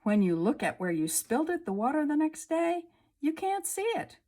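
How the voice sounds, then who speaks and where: A woman teacher reading a children's science book aloud, slowly and clearly, at a table indoors. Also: high voice, very animated, warm tone. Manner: slow, clear storybook reading. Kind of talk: read-aloud lesson for children.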